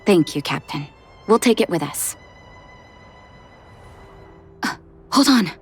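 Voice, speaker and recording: A woman voicing a composed, determined doctor in a video game, speaking in a measured, deliberate way. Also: fairly low pitch, lively intonation, breathy edge, soft background music, full character voice. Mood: calm, alert. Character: composed, determined female doctor searching for her brother. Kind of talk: scripted video game voice acting.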